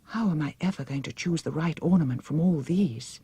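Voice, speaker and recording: A woman's voice: low voice, a little fast, warm tone, slightly rough, dry recording. A woman voicing a brave, earnest young girl heroine in an audiobook story, with an urgent, wide-eyed manner. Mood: anxious.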